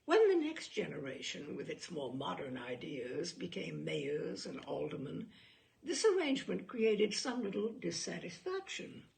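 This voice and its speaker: A woman reading a short story aloud in an expressive literary narration, with deliberate, dramatic phrasing and pauses. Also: lowish voice, gravelly.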